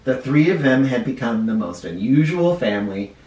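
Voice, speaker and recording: A teacher reads a storybook to children with a lively, rising and falling voice. He pauses to explain words along the way. The sound is echoey and picked up from a little distance. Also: mid-range voice. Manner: expressive, stops to explain words to kids. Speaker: first grade teacher reading aloud. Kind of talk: children's read-aloud with asides. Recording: roomy echo, mic at a distance.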